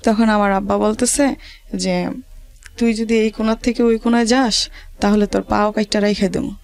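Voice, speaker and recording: A woman with a creaky, middle-pitched voice speaks in a rural Bangladeshi accent. She tells her own story as an interview guest, in a halting, colloquial way, often repeating words as she goes. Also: steady tone, a little mellow. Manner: halting, repetitive, colloquial dialect.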